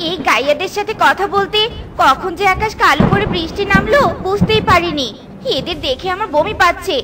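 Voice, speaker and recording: A woman's voice: very high voice, animated, a little fast. A woman voicing a proud little female bird in a children's cartoon. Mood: haughty scorn. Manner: bossy, snapping, dismissive. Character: arrogant, scornful little female bird, easily offended. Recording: loud music behind the voice.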